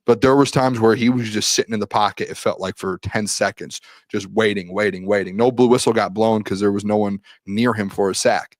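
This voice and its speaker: A man's voice: lowish voice, fairly even intonation, fairly quick, hardly any pauses, no echo. A young man hosting a sports show, rambling through a practice recap and reading viewer comments while losing his voice. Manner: rambling, lots of restarts, reads viewer questions aloud. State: losing his voice, clears throat. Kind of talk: solo live-stream recap, reading chat comments.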